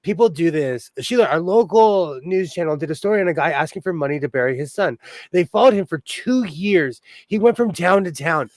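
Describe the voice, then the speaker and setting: A man's voice: fairly high pitch, expressive, a little fast, no echo. Chatty man from Southern California. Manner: chatty storyteller, laughs often. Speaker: co-host of a live sewing stream. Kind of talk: casual chat.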